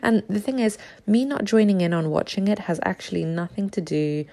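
A woman in her thirties hosting a casual solo podcast, a little raspy from just waking up, chatty with frequent ums and small laughs. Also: mid-range voice, animated, brisk, warm tone. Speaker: podcast host.

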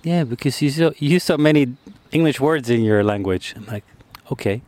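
A man interviewing a guest casually outdoors in a park, speaking in a relaxed, conversational way with fillers and restarts. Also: medium pitch, fairly quick, no echo. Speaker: street interviewer.

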